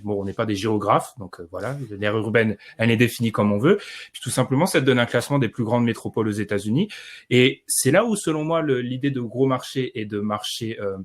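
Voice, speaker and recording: Man explaining with wry confidence. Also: medium pitch. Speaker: podcast co-host. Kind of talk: casual podcast discussion.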